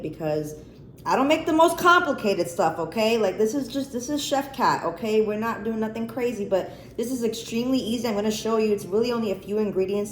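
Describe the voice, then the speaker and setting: Woman, American accent, casual vlog host, chatty, informal, sweary, echoey room, faint background noise. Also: medium pitch. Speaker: cooking and chat vlog host. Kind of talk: casual chat to camera.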